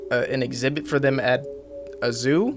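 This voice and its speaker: A man's voice: medium pitch, fairly even intonation, moderate pace. A man giving casual, slangy, conversational commentary in an informal, mock-incredulous way. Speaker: YouTube commentator. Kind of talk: casual conversational horror explainer narration. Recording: loud music bed under voice. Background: loud background music.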